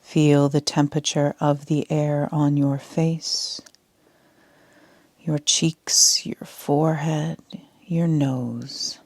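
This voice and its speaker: A woman leading a sleep meditation as a yoga nidra instructor, speaking in a soft, half-whispered, calm and soothing way. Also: low-pitched, drawn-out words, dry recording.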